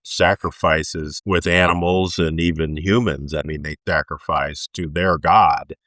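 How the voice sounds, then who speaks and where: Deep-voiced man explaining calmly. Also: a little slow, no room sound, clean studio sound. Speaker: podcast co-host. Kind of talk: casual faith chat.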